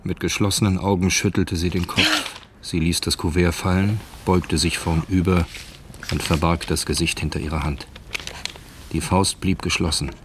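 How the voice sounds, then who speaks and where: Deep-voiced male radio drama narrator. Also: steady tone, moderate pace, background noise.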